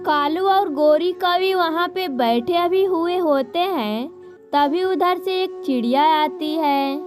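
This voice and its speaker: A woman narrates a children's story in a very high voice. Her pace is slow and measured, and music plays clearly behind her.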